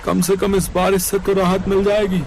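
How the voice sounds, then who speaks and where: A man's voice: high-pitched, completely flat delivery, normal speed, darkish tone, slight background noise, rough recording. A man voicing a skeptical, thrifty beggar father in a cartoon story. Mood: concerned.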